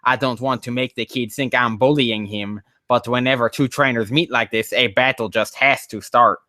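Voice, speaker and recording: A man's voice: mid-range voice, steady tone, moderate pace, pushed and bright, dry recording, clean studio sound. A man on a casual livestream reading fan fiction aloud, breaking off into rambling, profane, exasperated commentary.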